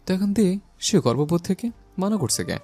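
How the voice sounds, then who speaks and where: A man's voice: high-pitched, normal speed, faint music behind, heavily acted. A man playing a bossy, teasing young husband in an audio love story, possessive but loving. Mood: tender teasing. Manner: teasing, possessive, lively delivery. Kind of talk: audio story character acting.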